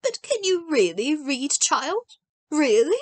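A woman's voice: high voice, very animated, takes it slowly, no echo, pitched above the performer's own voice, heavily acted. A woman voicing a frail, tender old grandmother. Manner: gentle, surprised, softly pleading.

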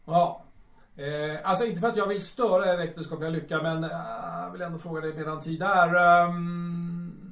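A man playing a skeptical, nagging absinthe-drinking playwright in an audio drama, halting and hemming as he mutters. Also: high-pitched, steady tone, fairly bright tone, distant mic. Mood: needling. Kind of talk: audio drama dialogue in Swedish. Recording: echoey room, off the mic.

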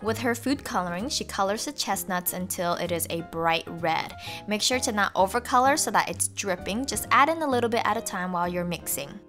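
A woman's voice: medium pitch, expressive, brisk, fairly bright tone, faint music behind. A young woman narrating a home recipe video, walking through each step cheerfully as the channel's host. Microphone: voice-over.

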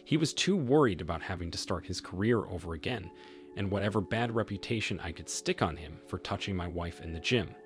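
A man reading reader-submitted stories aloud in a steady scripted narration, then giving his own reaction. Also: low-pitched, fairly quick. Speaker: story narrator. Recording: voice-over with soft music bed.

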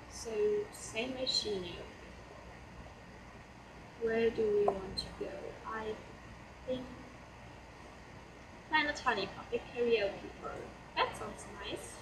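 A teenage girl from Germany with a German accent talks through her game in a casual, hesitant way, with plenty of fillers. Her voice is a bit hoarse from a cold. She sounds distant in an echoey room, with laptop fan noise humming behind her. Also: a little high, expressive, takes it slowly, pauses often. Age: teens. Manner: chatty, hesitant, many fillers. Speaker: let's play host. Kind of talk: casual gameplay commentary. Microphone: laptop mic.

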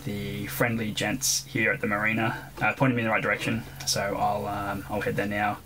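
A young man co-hosting a sailing vlog, narrating in a relaxed, joking way, with fillers, outdoors on a boat. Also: lowish voice, normal speed, poor audio. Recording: loud music playing underneath.

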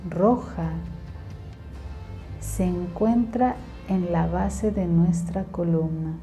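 A woman's voice: lowish voice, mellow. A woman leading a guided meditation in a soothing, instructional way.

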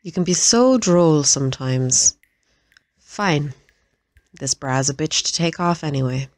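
A woman's voice: low-pitched, takes it slowly, no room sound. A woman playing a sadistic, flirtatious assassin in an audio drama, with an Irish accent and a playful, taunting manner. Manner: taunting, droll, gleeful. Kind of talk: audio roleplay acting.

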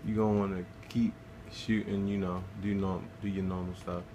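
A man's voice: sits low, level tone, moderate pace, noisy background. A man casually talking through a game walkthrough, laid-back and swearing freely as he plays. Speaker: gameplay guide narrator.

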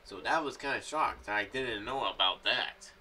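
A young man giving casual, rambling commentary to camera with a halting, emphatic delivery. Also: medium pitch, pushed and bright, recorded from a distance, slight background noise. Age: young adult. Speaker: fan theory vlogger. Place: small home room. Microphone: boom-arm condenser mic with pop filter.

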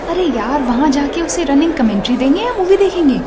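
A woman's voice: high voice, lively intonation, fairly quick, crowd noise. A woman playing a sceptical young college student in casual radio drama slang. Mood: doubtful. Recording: noisy, crowded classroom ambience behind.

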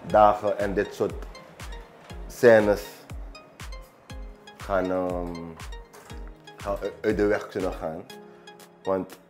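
A man in his thirties with a Surinamese accent, answering in an unscripted interview in a halting way, repeating phrases for emphasis. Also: sits low, a little mellow. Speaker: barber, small business owner interviewee. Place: small barbershop, indoors, seated. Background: background music.

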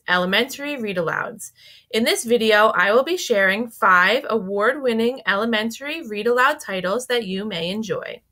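A woman reading a scripted narration that recommends children's books, expressive and carefully enunciated. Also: on the high side. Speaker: library book recommender, presenter. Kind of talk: scripted promotional narration of children's book picks.

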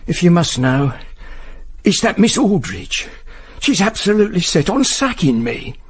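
An actor playing a British senior clerk with a husky voice, deferential and prickly, in a radio drama. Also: male voice, sits high, very animated, unhurried, heavily acted. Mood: aggrieved. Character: aggrieved, deferential male senior clerk of chambers.

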